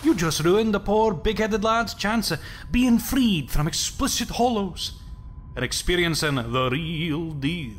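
An actor playing a cocky, wisecracking rebel rogue in an audio drama, flippant and teasing. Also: male voice, high voice, expressive, unhurried, a little hiss or hum. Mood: mocking.

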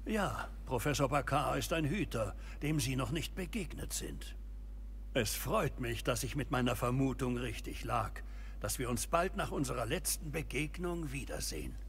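An actor playing a grave old wizard keeper in a video game, speaking formally and with measured care. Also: male voice, mid-range voice, lively intonation, a bit bright, a little hiss or hum. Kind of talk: scripted video game dialogue.

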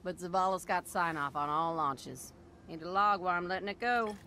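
A woman's voice: lowish voice, normal speed, bright, forceful tone, slight background noise. A woman playing a dry, no-nonsense shipwright in a video game, casual and unimpressed. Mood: wry, suspicious. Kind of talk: cinematic game acting.

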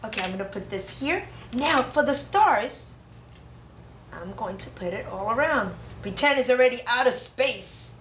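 A woman preschool teacher with a high voice that swings up and down in a sing-song way as she guides small children through a craft. She sounds exclamatory and instructional, recorded in an echoey classroom. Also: lively intonation, moderate pace, a bit bright. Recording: roomy classroom echo, faint background noise.

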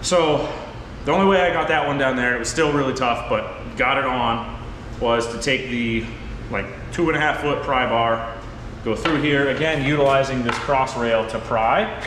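A man's voice: medium pitch, moderate pace. Man talking through a repair, echoey garage. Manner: candid, informal, explanatory. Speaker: mechanic and video host. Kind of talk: hands-on install tutorial, casual chat to camera. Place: roomy indoor garage workshop. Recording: echoey garage, clear background noise, clicks.

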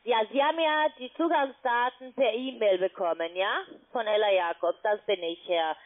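A woman phone scammer posing as an advisor, speaking halting German and repeating the customer's name. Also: a little high. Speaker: scam call-centre advisor. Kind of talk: phone sales pitch. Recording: tinny phone line.

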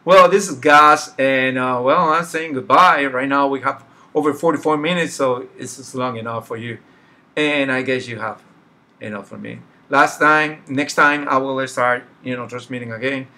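A man's voice: mid-range voice, moderate pace, sharp tone. A middle-aged man with a Spanish accent, a native Spanish speaker, chatting casually while sketching on a live stream. He searches for words, with many fillers and restarts. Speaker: artist streaming a drawing session. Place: small home room, indoors.

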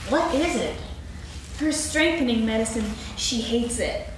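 A small, whiny young kangaroo with a high, childlike voice and an unhurried way of speaking. The voice sounds distant and boomy, with a noisy background. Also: female voice, lively intonation. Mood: plaintive. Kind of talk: staged reading of a stage play. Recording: distant, echoey hall, noisy.

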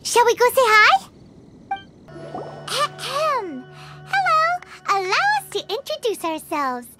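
A woman voicing a tiny, chirpy, excitable fairy girl companion in a video game, with a squeaky childlike voice. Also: slow pace, full character voice. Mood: excited. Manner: excitable, refers to self in third person. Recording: game music behind.

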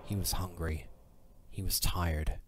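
A man's voice: low voice, fairly even intonation, slow pace. A man narrating a scripted, dramatic fantasy story as the narrator of an audio drama. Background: music.

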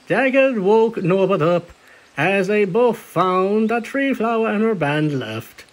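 A man reading scripted storybook-style narration of a cartoon story in a theatrical, presenting manner. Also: high voice. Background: music behind the voice.

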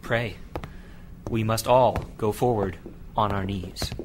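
Slow, fervent missionary preacher. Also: male voice, lowish voice, steady tone, background noise. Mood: grave, resolute. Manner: grave, fervent, deliberate. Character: devout, burdened missionary preacher in China. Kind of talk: audio drama acting.

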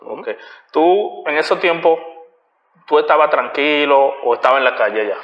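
A young adult man with a Dominican Spanish accent hosts a relaxed interview in friendly street slang. His voice sits at a middling pitch. It sounds roomy and dull, picked up by a camera across a bright living room. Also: moderate pace. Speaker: host. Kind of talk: casual interview.

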